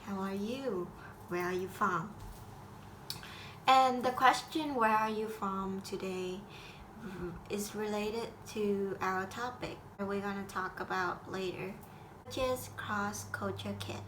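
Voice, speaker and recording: A teenage girl from Vietnam with a Vietnamese accent, speaking English as a second language in a halting school assignment talk full of restarts. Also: medium pitch, steady tone, fairly bright tone, a little hiss or hum, low-quality recording. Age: teens. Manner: halting, with frequent restarts and grammar slips. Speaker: high school student.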